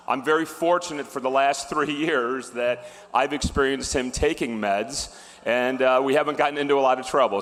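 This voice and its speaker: A man's voice: mid-range voice, fairly bright tone. Man joking through a tribute speech. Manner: wry, with fillers and restarts. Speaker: presenter at an awards ceremony. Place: large ballroom. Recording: reverberant hall.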